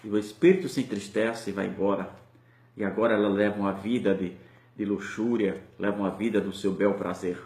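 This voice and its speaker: A man's voice: fairly low pitch, steady tone, brisk, a little mellow, reverberant. A man with a Brazilian Portuguese accent preaching a sermon with impassioned, rising and falling delivery.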